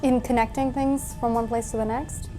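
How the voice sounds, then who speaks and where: A woman with a high voice speaks as a player in a tabletop game. She challenges the group pointedly, and music plays behind her. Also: steady tone, normal speed. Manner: argumentative, pointed. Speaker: tabletop role-playing player. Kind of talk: in-character group discussion. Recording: background music under the voice.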